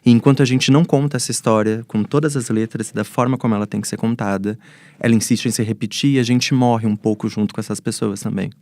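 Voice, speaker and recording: A man's voice: on the low side, fairly even intonation, fast talker, darkish tone, dry recording. A man with a Brazilian accent speaking as a guest professor, explaining in panel-talk style.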